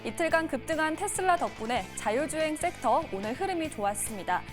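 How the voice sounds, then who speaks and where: A woman delivers scripted financial news with a formal, polished broadcast manner. Her voice sits high and moves with very lively intonation. Music plays clearly behind her. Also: brisk. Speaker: stock market news presenter. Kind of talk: scripted market news report. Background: music bed.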